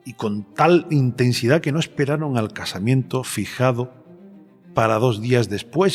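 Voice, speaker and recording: A man's voice: mid-range voice, natural voice. A man playing a polite but provocative journalist in a dramatised historical interview, hesitant as he probes. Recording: long hall reverb.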